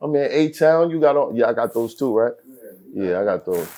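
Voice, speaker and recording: A man hosting a casual hip hop podcast, loose and joking, heavy on slang and quick to laugh. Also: mid-range voice, animated, warm tone. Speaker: podcast host.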